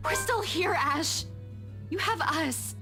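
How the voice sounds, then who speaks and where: A caring young female friend in a visual novel game. Her voice is high and emotional, earnest and feisty in temper, with soft music in the background. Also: lively intonation, takes it slowly, heavily acted. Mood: earnest, tender.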